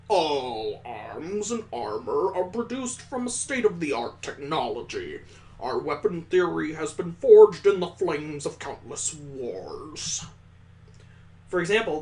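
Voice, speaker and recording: A man voicing a courteous, formal elderly elf mentor in a visual novel read-aloud, speaking in a stately, deliberate way. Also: on the high side, very animated, darkish tone. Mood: solemn.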